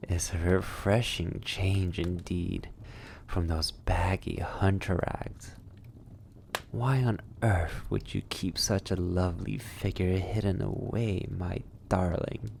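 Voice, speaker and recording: A man playing a suave, sadistic vampire lord in an intimate ASMR roleplay, formally polite, breathy and teasing. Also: deep voice, a little mellow, a little hiss or hum, heavily acted. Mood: seductive, admiring.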